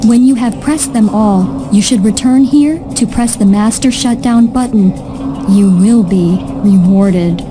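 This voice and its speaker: A woman's voice: medium pitch, measured pace, over music, lightly acted. A woman voicing a businesslike, exacting security computer in a video game, formal and instructive. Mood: instructing.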